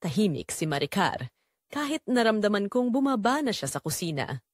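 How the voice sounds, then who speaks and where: Filipino woman narrating drama, expressive swings in pitch. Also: moderate pace, dry recording, studio quality. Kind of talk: scripted first-person dramatic narration.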